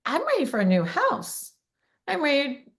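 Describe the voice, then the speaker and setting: A middle-aged woman with a raspy voice hosting a casual podcast, chatty and quick, laughing often. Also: high-pitched, wildly swooping pitch. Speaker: podcast host, realtor.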